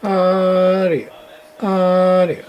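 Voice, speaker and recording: A man's voice: sits high, level tone, very slow, noisy background. A man testing and repairing an old radio, muttering test calls and meter readings in casual workbench commentary.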